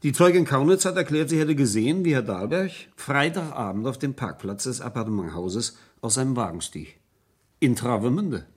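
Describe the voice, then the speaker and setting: Husky, stern police inspector. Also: male voice, medium pitch, expressive, a little mellow. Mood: stern, official. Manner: formal, deliberate questioning. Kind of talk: 1970s German radio crime drama acting.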